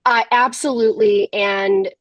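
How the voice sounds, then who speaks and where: A middle-aged woman speaking as a podcast guest, candid and measured, often restarting her sentences. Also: a little high, steady tone, no room sound, muffled recording. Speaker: podcast guest, tech executive. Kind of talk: casual interview conversation.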